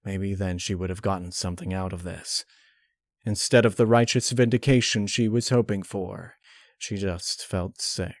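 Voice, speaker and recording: A man reading a dark horror story aloud as a scripted narrator, close on the mic. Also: sits low, steady tone, normal speed, no room sound.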